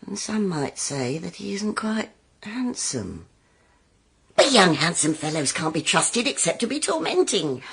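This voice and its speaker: A woman's voice: lowish voice, big pitch swings, a little slow, gravelly, fairly bright tone, husky, full character voice. An actress playing a scheming middle-aged mother in a radio drama, coaxing and insistent in a theatrical way. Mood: persuasive.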